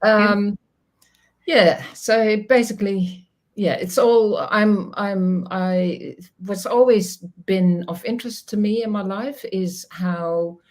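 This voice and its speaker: A woman's voice: medium pitch, steady tone, slow pace, darkish tone. A middle-aged woman from the Netherlands, a native Dutch speaker, talking as a guest over a video call, reflective and laughing often, with many restarts. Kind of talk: casual interview chat.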